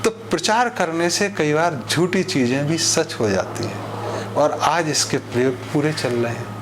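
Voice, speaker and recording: A man delivering an expository monologue in a didactic, deliberate way, using rhetorical repetition. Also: fairly high pitch, background noise. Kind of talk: expository monologue on social issues with parables.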